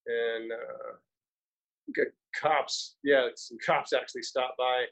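Guy from florida cohosting a podcast, easygoing storyteller, mid pitch. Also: steady tone, slow pace, vocal fry, a bit bright, rough recording. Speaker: podcast co-host. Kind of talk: casual chat.

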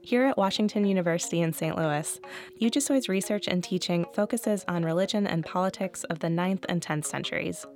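A woman with a fairly low voice narrates a podcast script in a clear, even way. Soft music runs faintly behind her. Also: a little fast. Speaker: podcast host.

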